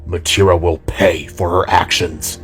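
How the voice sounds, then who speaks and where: A man voicing a grave older warrior in an audio drama, with slow, formal speech and held-back anger. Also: deep voice, flat delivery, soft background music. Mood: seething. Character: grave, angry older male warrior being.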